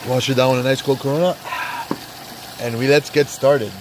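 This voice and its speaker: A man's voice: mid-range voice, fairly even intonation, moderate pace, background noise. A congested man with a sore throat, casually rambling through his weekly football picks with lots of fillers, talking from an outdoor hot tub. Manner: rambling, many fillers, hyped asides. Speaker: sports betting vlogger. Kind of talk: casual NFL picks rundown.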